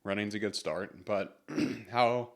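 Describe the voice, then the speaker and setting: A man's voice: on the low side, slow pace. A man hosting his solo motivational podcast in a casual, rambling way, his voice congested from a cold. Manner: casual, rambling with fillers and restarts. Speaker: podcast host.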